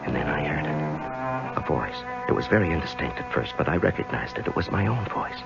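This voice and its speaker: Very deep, anxious radio-drama husband. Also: male voice, sing-song, fairly quick, creaky, full character voice. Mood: brooding, confiding. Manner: hesitant, evasive, brooding first-person narration. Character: anxious husband haunted by voices, narrating his tale. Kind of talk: 1970s radio drama acting. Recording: dull 1970s radio recording, music behind.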